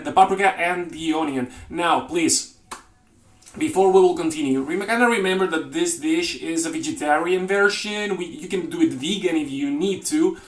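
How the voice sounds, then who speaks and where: Man, casual joking cooking host, rambly and self-correcting, echoey home kitchen. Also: a little high. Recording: roomy kitchen with faint noise.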